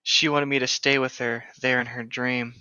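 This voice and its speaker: A man's voice: medium pitch, level tone, moderate pace, pushed and bright, clean tone, no echo. A man co-hosting a casual read-along, bantering and sardonic as he mocks the text, on a slightly muffled recording. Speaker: co-host of a comedic book-reading show.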